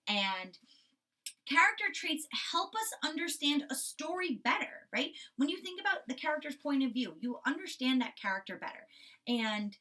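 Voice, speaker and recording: Woman, teacher of young children, bright forceful tone, instructive, stressed key words, slightly distant mic. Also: high-pitched, big pitch swings, normal speed. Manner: instructive, emphatic key words, frequent check-in "right?". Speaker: primary school teacher. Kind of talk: children's lesson and storybook read-aloud.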